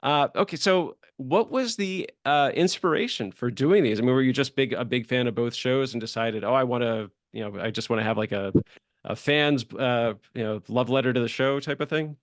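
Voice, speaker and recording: A man's voice: mid-range voice, moderate pace, no room sound. Chatty male podcast host, dry close sound. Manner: chatty, rambling, loosely worded questions. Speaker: podcast host interviewing a guest. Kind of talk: casual conversational interview.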